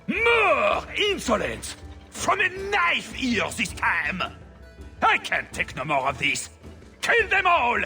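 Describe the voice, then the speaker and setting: Shrill, blustering pompous baron. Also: male voice, wildly swooping pitch, takes it slowly, pushed and bright, soft background music, full character voice. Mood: furious. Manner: indignant, haughty, blustering. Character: pompous, hot-tempered, insulting Orlesian baron. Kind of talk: video game cutscene acting.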